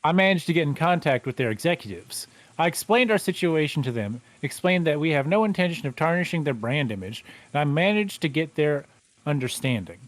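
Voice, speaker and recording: Stiff, formal lawyer, read by a man who stumbles over words, lively pitch, dry sound. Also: no room sound, lightly acted, rough recording. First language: not Japanese. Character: formal middle-aged male lawyer. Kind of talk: fan livedub of a visual novel.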